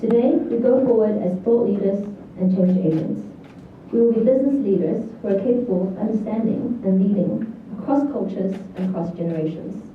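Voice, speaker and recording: A woman's voice: medium pitch, brisk. New Zealand woman speaking in a very echoey hall. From New Zealand, born in China. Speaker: graduating student valedictorian. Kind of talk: prepared graduation speech. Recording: echoey hall, distant, thin lo-fi, faint noise.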